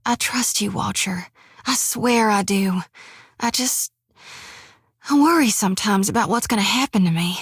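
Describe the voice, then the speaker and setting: A woman's voice: medium pitch, moderate pace, husky, no echo, clean studio sound. An actress voicing a devout, earnest young woman priest who travels as a companion, speaking in a folksy drawl. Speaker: game companion character. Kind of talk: video game voice acting.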